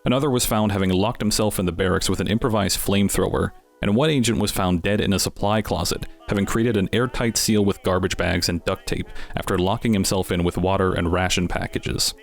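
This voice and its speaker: A man's voice: sits low, steady tone, a little fast, few pauses, soft background music. A man giving scripted narration as the host of an explainer series, with wry, dryly humorous asides.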